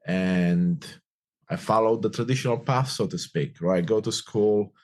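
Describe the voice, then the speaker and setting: A man's voice: on the low side, unhurried, darkish tone. A man in his forties, from Romania with Romanian as his first language, talking upbeat and reflective as an interview guest, often repeating phrases. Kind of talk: casual interview conversation.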